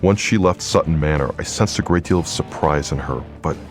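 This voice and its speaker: An actor playing a stern, imperious immortal leader in an audio drama, grave and exasperated in manner. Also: male voice, very deep voice, fairly quick, faint music behind. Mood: grave, urgent. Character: stern, commanding male immortal leader with psychic senses.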